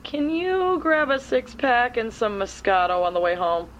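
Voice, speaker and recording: A woman's voice: fairly high pitch, sing-song, unhurried, fairly bright tone, slight background noise, low-quality recording. A woman acting as a blunt, playful sister who runs a superhero's comms in an audio drama, casual and sarcastic. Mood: breezy, businesslike.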